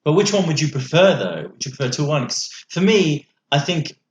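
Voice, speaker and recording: A man's voice: fairly high pitch, sing-song, a little fast. A man co-hosting a podcast with casual banter about films. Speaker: podcast co-host. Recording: echoey room with background noise.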